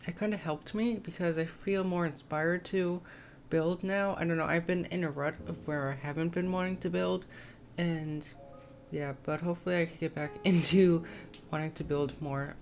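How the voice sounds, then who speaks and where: A young woman with a low, slightly scratchy voice talks through a game build in a casual voiceover. She rambles in a tentative, self-conscious way. Soft guitar music plays faintly behind her. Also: steady tone, normal speed, gravelly. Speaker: gaming video creator. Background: soft plucked guitar music.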